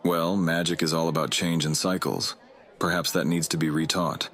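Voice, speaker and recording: A man playing a tall, kindly elf potions master in a fantasy audio drama, measured and gently chiding. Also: deep voice, level tone. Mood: reassuring. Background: outdoor festival crowd ambience.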